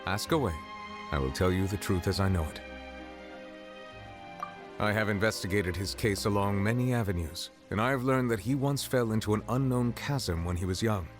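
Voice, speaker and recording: An actor playing an ancient dragon sovereign in human form, speaking in a formal, measured, solemn way as he explains a verdict. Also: lowish voice, natural voice. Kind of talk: game character dialogue. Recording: game audio with music behind. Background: music.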